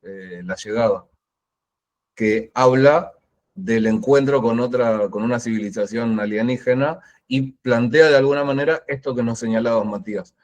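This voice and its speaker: A man's voice: medium pitch, moderate pace. Man coordinating a book club call. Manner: rambling, thinking aloud. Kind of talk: casual video-call discussion. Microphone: video call.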